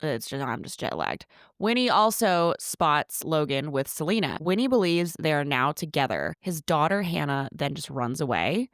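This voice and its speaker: A woman co-hosting a podcast, chatting casually as she recaps a movie, with quick asides and restarted sentences. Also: low voice, sing-song, no room sound, studio quality. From United States. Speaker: podcast co-host.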